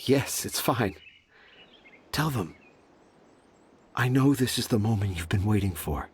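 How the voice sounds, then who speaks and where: Dramatic storyteller dad, swooping voice. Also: male voice, on the low side, expressive, measured pace, slight background noise. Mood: dejected. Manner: theatrical, emphatic. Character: dramatic, stubborn storyteller father, easily exasperated. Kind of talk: video game voice acting.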